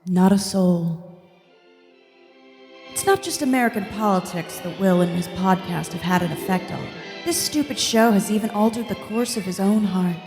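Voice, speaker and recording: A woman's voice: mid-range voice, normal speed, close to an everyday voice. Woman as a reverberant, all-knowing God. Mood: solemn, knowing. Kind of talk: scripted radio play narration. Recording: long reverb, faint music behind. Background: music.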